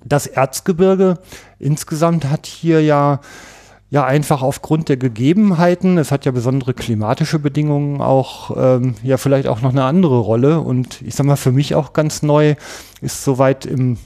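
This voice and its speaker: A man's voice: medium pitch, normal speed, warm tone. A man hosting a hunting podcast, talking casually with many hesitations and fillers. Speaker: podcast host.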